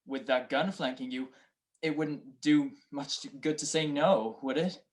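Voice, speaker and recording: A man's voice: mid-range voice, unhurried, natural voice. A man playing a wisecracking detective husband in a radio crime drama, dry and sardonic. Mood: wry.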